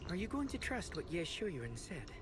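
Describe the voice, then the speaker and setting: An actress playing a cautious, caring companion in a video game, gentle and tactful. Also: female voice, lowish voice, big pitch swings, moderate pace, background noise. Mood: concerned.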